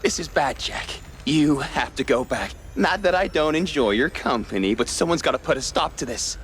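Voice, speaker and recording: A man voicing a cynical, guilt-ridden rich mouse in an animated noir game, with a theatrical, evasive, hesitant delivery. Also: a little high, moderate pace, slight background noise. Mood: worried.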